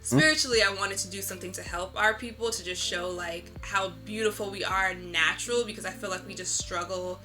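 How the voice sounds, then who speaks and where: A woman's voice: medium pitch, fairly quick, hardly any pauses, sharp tone, faint music behind. A woman being interviewed, answering casually in a candid, reflective and informal storytelling way. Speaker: interviewed artist.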